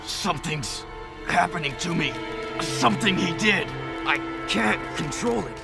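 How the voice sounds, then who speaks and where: A brash young hero. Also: male voice, high voice, expressive, a little slow, vocal fry, loud music behind the voice, exaggerated voice.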